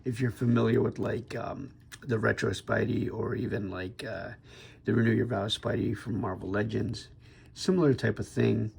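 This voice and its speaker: A young man with a sick, raspy voice casually reviewing a toy figure on his channel, talking in a laid-back way with lots of fillers and restarts. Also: fairly low pitch, fairly even intonation, normal speed, a little mellow, slight background noise.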